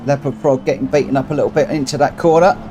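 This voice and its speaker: A man streams a racing game with his own live commentary. He has a cold and a runny nose. He rambles and thinks aloud, often stopping to correct himself, and his voice sits at an ordinary mid pitch. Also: fairly even intonation, fast, noisy background. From United Kingdom.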